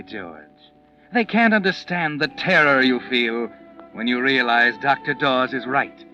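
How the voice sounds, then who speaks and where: A man's voice: mid-range voice, lightly acted. A man playing a knowing, taunting storyteller, narrating a 1940s radio mystery with insinuating drama. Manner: insinuating, dramatic second-person address. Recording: muffled vintage 1940s radio recording. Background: dramatic musical score.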